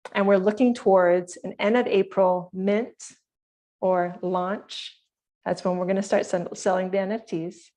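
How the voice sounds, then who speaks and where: A woman's voice: medium pitch, a little mellow. A woman from Hawaii presenting her project to an online community meeting, speaking earnestly and directly to her listeners.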